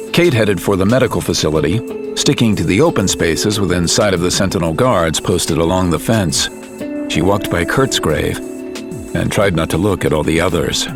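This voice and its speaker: A male narrator with a deep voice reads a scripted audio drama. His storytelling is serious and measured, and music runs clearly underneath.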